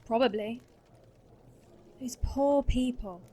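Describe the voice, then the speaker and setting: A woman's voice: fairly high pitch, slow pace, mellow, a little hiss or hum. Blunt monster-hunting princess, played by a woman. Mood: wry. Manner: blunt and curt, swears freely. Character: blunt young woman monster hunter, foul-mouthed princess. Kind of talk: fan audio drama acting.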